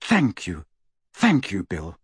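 A middle-aged wizard from a storybook, acted out by a male reader, weary yet emphatic. The voice is husky and very animated, with formal, declarative phrasing and a close, dry sound. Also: low voice, slow pace, dry recording, pitched below the performer's own voice, full character voice. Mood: happy, warm. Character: frightened then overjoyed weary middle-aged male wizard werewolf friend.

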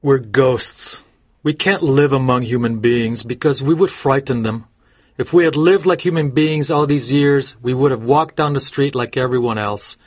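A man voicing a fragile, childlike grown man in a storybook, simple and wistful, with a husky voice. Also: fairly high pitch, expressive, moderate pace. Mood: sad, resigned.

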